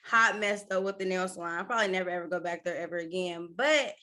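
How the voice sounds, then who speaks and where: St. woman co-host, blunt, bright tone. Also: lowish voice, fast talker. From St. Louis, USA. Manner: blunt, casual, swears freely. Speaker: podcast co-host.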